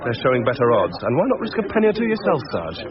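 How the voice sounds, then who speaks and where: An actor playing a keen young police constable in a radio crime drama, eager and informal. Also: male voice, mid-range voice, huge pitch swings, very fast. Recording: dull radio sound with crowd behind.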